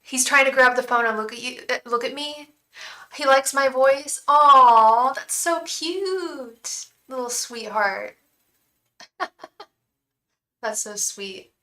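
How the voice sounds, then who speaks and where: Bright, chatty woman reading tarot live. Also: high-pitched, takes it slowly, long pauses, echoey room. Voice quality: calming voice. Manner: chatty and reassuring, many restarts and "um"s; addresses viewers fondly as "love". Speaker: tarot reader and psychic.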